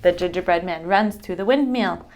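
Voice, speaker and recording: A woman's voice: lowish voice, normal speed, a little mellow, slight background noise. A woman teacher reading a children's story aloud and chatting with a child about it. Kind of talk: animated children's read-aloud with questions to a child.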